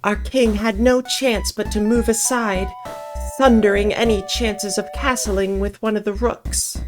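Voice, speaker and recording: A woman's voice: mid-range voice, fairly even intonation, a little slow, over music. A woman reading a visual novel aloud as narrator, with casual asides.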